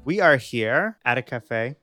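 A young man hosts a podcast in a measured, reflective way, letting his pitch rise and fall freely. A soft guitar plays faintly beneath his narration. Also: lively intonation, no room sound. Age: young adult. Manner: reflective, measured delivery with dramatic pauses. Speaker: podcast host. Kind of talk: scripted narration and interview.

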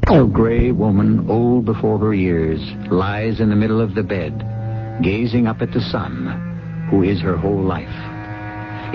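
A man narrating a radio mystery as its host, grave, measured and theatrical. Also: on the low side. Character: grave, ominous storyteller host of mystery tales. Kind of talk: dramatic scripted suspense narration. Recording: dull old radio broadcast. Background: dramatic music.

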